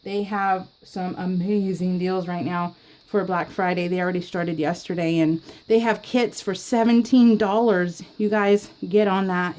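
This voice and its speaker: A woman chatting casually to her viewers while she scrapbooks, giggling often and filling pauses with ums and restarts. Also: mid-range voice, a little mellow. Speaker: scrapbooking YouTuber. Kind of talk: casual chat while crafting.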